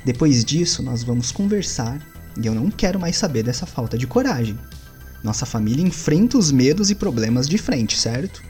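A man's voice: medium pitch, very animated, normal speed, darkish tone, natural voice. A man voicing a stern but caring father who is putting his young son to bed and speaks firmly and reassuringly. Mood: firm, fatherly. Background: faint music.

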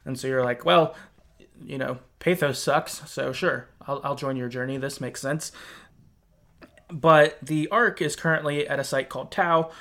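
A man's voice: medium pitch, steady tone, measured pace, fairly bright tone. A man hosting a podcast, recapping a video game's story in an informal, conversational storytelling way. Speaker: podcast host. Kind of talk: casual chat, recapping a video game story.

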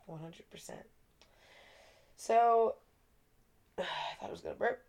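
A woman's voice: a little high, slow pace, darkish tone. Laughing young woman podcast co-host. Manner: laughs often, swears casually. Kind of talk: casual chat.

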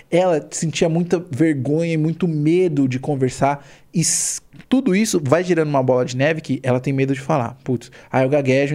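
A Brazilian-accented man with a mid-pitched voice talks as a guest in a casual conversation. He explains things in slangy, informal language and likes to restate a point to make it stick. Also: dark tone. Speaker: podcast guest, hypnotist.

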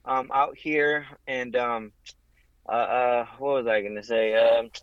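A man in his thirties from Southern California, a podcast guest telling his faith story in a halting way, with many ums and restarts. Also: mid-range voice, fairly even intonation, clean tone, dry recording, lo-fi sound. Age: 30s. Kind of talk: casual faith testimony.

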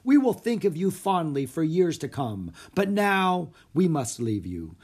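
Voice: mid-range voice, sing-song, a little slow, vocal fry, a little mellow, husky, pitched above the performer's own voice, full character voice. A narrator voicing an earnest, practical young boy magician in a children's audiobook. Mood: grand showmanship.

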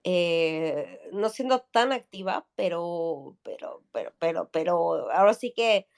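A woman's voice: on the low side, very animated, takes it slowly, darkish tone. Chatty woman from Mexico City. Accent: Mexico City Spanish. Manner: chatty, many fillers and tag questions. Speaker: podcast guest. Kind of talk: casual chat.

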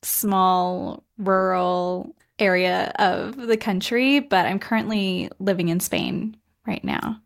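A woman's voice: medium pitch, slow, vocal fry, hardly any pauses. Friendly woman, modest guest. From West Virginia, US. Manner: friendly, modest, laughs easily, many ums. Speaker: guest, entrepreneur. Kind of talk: casual interview chat.

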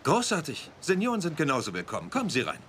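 A man's voice: a little high, huge pitch swings, fast, background noise. A man acting a jovial property developer with hearty salesman patter in a dubbed German TV drama. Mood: hearty, welcoming.

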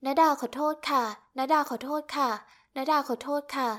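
A woman's voice: a little high, quick pace, close to an everyday voice. A woman doing an overworked young woman pleading. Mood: shouting. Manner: pleading, apologetic, rising to outbursts. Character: harried young woman assistant, meek then bursting out.

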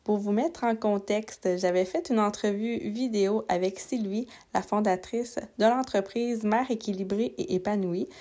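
A woman's voice: medium pitch, moderate pace, few pauses, dark tone, faint music behind. Chatty Québécois woman podcast host. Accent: Québécois French. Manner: friendly and conversational, with fillers. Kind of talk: casual podcast interview.

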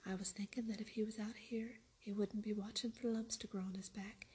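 A woman's voice: medium pitch, level tone, a little fast, slight background noise, close to an everyday voice. A woman whispering as Dickon, a kind Yorkshire farm boy. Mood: gentle. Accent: Yorkshire dialect. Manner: whispered, gentle.

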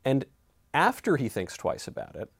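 A man's voice: mid-range voice, huge pitch swings, normal speed, vocal fry, husky, no room sound. Guy hosting a book chat, mid voice, curious, kinda stop and start framing his points, very dry sound. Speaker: interview host. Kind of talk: conversational book discussion.

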